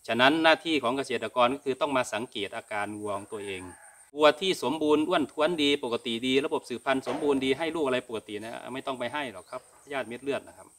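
A man's voice: medium pitch, fast talker, fairly bright tone, a little hiss or hum. A middle-aged man from Thailand explaining things informally as a host answering viewer questions, with frequent polite particles. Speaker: cattle farming channel host. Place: open-sided cattle barn.

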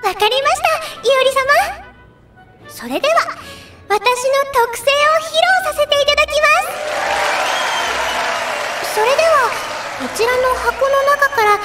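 An extremely high, girlish voice for an anime audio drama. The young idol is earnest and cheerful, with very formal, eager manners. Music and a crowd sit behind her. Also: a little slow, pauses often, distant mic. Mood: polite, earnest.

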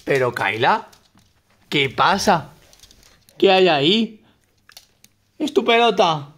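A man's voice: high voice, sing-song, slow, fairly bright tone. A man in his thirties with a Castilian Spanish accent, chatting informally and expressively as he vlogs on a handheld phone. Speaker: vlogger. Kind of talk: casual vlog narration and chat. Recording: noisy handheld on-location recording.

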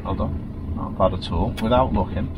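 A middle-aged man, calmly coaching a learner through the pedals inside a car, often checking she understands. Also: lowish voice, moderate pace. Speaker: driving instructor. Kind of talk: patient step-by-step instruction. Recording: noisy car interior.

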